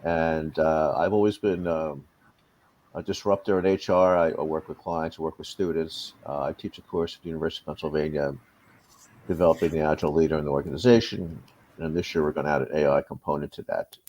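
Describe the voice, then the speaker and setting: A man's voice: extremely low pitch, fairly even intonation, brisk, a little mellow. An American man, an HR consultant and lecturer, introducing himself on a panel in a chatty, jokey way. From United States. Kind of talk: panel introduction on a video call.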